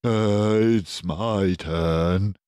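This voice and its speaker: Man as a hearty, slow-spoken badger. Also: sits low, a little mellow, clean tone, no echo, clean studio sound. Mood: savouring wonder. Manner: savouring, drawn-out words. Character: hearty, cider-loving adult male badger.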